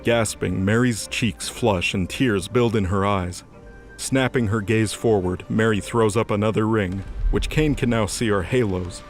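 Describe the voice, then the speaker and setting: Male narrator, fairly low voice, measured scripted fantasy storytelling over clear background music.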